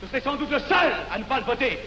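An actor playing an indignant nationalist deputy, declaiming emphatically in a 1940s film. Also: male voice, very high voice, lively intonation, quick pace. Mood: defiant. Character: fiery indignant young nationalist parliamentary deputy. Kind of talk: 1940s film acting, political oratory. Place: large parliament chamber set, crowd behind. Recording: dull noisy 1940s film, echoing chamber, crowd.

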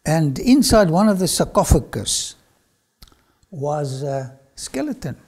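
An older man with an Afrikaans accent, a lecturer telling his own story to camera in a studio, swinging from loud phrases to whispers. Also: a little high, big pitch swings, slow pace, breathy edge. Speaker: archaeology lecturer. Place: studio with dark backdrop.

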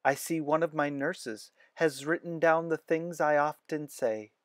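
Man voicing a slow, solemn woman war nurse. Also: on the high side, no echo, clean studio sound, voice pushed up, lightly acted. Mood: kindly. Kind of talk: children's storybook read-aloud.

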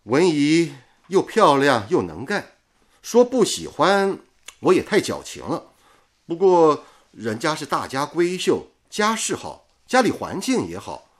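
A man's voice: a little high, wildly swooping pitch, unhurried, heavily acted. An actor playing a teasing, carefree young village-born man in a radio drama, speaking with playful exclamations. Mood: baffled, wry. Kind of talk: Mandarin radio drama acting.

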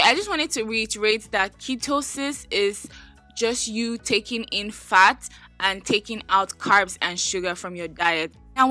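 A woman's voice: mid-range voice, sharp tone, no echo. A young woman talking through a cooking vlog in her home kitchen, chatty and sassy with playful asides. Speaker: lifestyle vlogger. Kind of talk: casual cooking vlog with voice-over.

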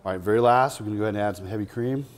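A man in his fifties hosting a cooking demonstration, talking in a relaxed, chatty way with many fillers, in a restaurant dining room. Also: lowish voice, very fast, slight background noise. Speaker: chef hosting a cooking segment.

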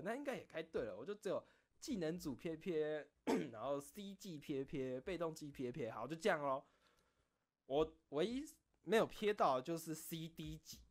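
Taiwanese man rambling casually through anecdotes. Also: on the high side. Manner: animated storytelling, acting out back-and-forth exchanges. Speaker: video creator and streamer.

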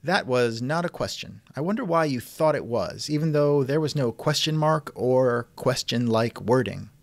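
A man's voice: medium pitch, expressive, normal speed, no room sound. A man hosting a conversational interview, asking questions in a wry, skeptical manner. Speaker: interviewer, host. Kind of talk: reenacted text-chat interview, conversational.

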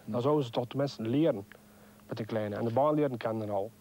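A young Flemish truck driver in his twenties talks quickly in a colloquial way. His voice is mellow and sits in a middle pitch.

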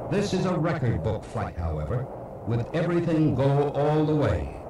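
A man's voice: mid-range voice, distant mic. A man giving dramatic, scripted documentary narration. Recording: noisy, echoey cassette playback from afar.

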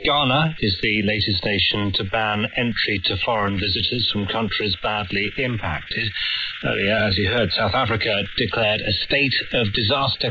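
A man's voice: fairly low pitch, pushed and bright. A male news presenter reading scripted news, heard over shortwave radio. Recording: distant, muffled shortwave radio with hiss.

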